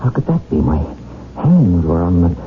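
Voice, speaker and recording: Anxious young male lead, halting and insistent, widely swinging intonation, old muffled radio-drama broadcast. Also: sits low, sing-song, a little hiss or hum. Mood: confused, insistent. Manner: agitated, halting, pleading. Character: anxious, insistent young man, accident victim, recently blind. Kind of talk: 1970s radio drama acting.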